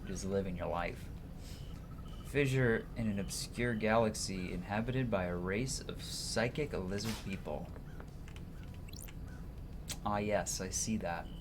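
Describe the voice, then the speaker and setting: A man with a fairly low voice commentates a video game for a livestream. He moves between offhand casual remarks and dramatic read-aloud narration. There is noticeable noise behind him. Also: slow, pauses often. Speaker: livestream gamer. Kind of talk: gaming commentary mixed with reading game text aloud.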